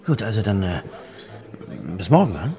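A man's voice: lowish voice, big pitch swings, normal speed, warm tone. An actor playing a chatty, melancholy man with an urbane, wry, persuasive manner in a German radio play. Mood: wry, insistent. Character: chatty, melancholy man coaxing a new acquaintance.

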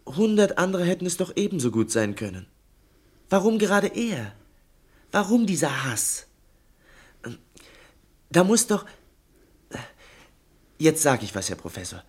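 Timid, higher-pitched questioner. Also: male voice, very animated, unhurried, pauses often. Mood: puzzled, insistent. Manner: hesitant, deferential, earnestly questioning. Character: timid but insistent male listener, deferential to the professor. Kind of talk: German radio play acting.

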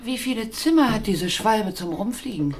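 A woman's voice: a little high, expressive, normal speed, soft background music, lightly acted. An actress playing a blunt, eccentric, middle-aged working-class mother of a teenage boy in a radio play, earthy and commanding. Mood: challenging, bossy. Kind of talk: German radio play acting.

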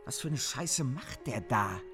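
An actor playing an impatient police surveillance officer in a radio drama, gruff, colloquial and complaining. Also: male voice, mid-range voice, lively intonation, unhurried, over music, lightly acted. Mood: puzzled, irritated.

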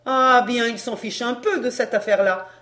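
A woman's voice: a little high, steady tone, moderate pace, a bit bright, lightly acted. A woman reading a story, voicing a brazen fairground woman who is scornful, cheeky and slangy. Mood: mocking. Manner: taunting, slangy, derisive.

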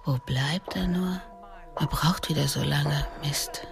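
A woman's voice: low-pitched, slow, creaky, music in the background, full character voice. An actress playing a careworn middle-aged mother, patient and coaxing, in a German radio drama. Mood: worried, weary.

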